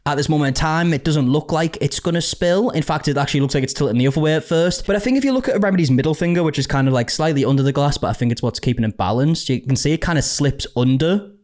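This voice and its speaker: Fast-talking man hosting reaction commentary. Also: a little high, a little mellow. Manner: chatty, hedging, reads out comments. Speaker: commentary channel host.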